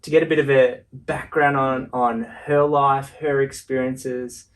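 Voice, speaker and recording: A man's voice: mid-range voice, little pitch movement, measured pace, far from the mic. A man hosting a podcast, introducing and chatting casually. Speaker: podcast host.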